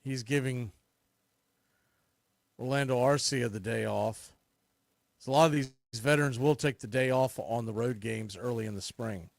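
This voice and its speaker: A man calling baseball play-by-play for a fan radio stream, steady, lifting his voice on big plays. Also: mid-range voice, moderate pace, creaky, pauses often, no echo. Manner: steady calls, rising excitement on big plays. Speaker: play-by-play announcer.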